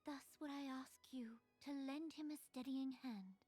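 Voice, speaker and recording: A high-voiced, solemn fantasy queen. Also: dark tone, soft background music. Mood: grave, resolute. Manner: solemn, formal, deliberate. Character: regal, resolute, grave young fantasy queen. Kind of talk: video game cutscene acting.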